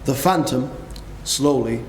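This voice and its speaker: A man narrating a classic story with grave, measured delivery in a dramatic reading. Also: mid-range voice. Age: young adult. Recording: echoey room, faint noise.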